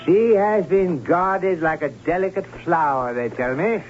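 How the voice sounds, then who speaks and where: A man's voice: on the high side, measured pace, music in the background, lightly acted. An actor playing an exuberant, romantic young German nobleman and bridegroom-to-be, florid and theatrical in an old-time radio drama. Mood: eager, lovestruck. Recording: muffled, very noisy old radio broadcast.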